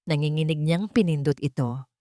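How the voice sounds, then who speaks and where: Female narrator for dramatic romance audiobook, low register, dry studio-like sound. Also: moderate pace, mellow, no echo. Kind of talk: dramatic scripted narration of a Tagalog love story.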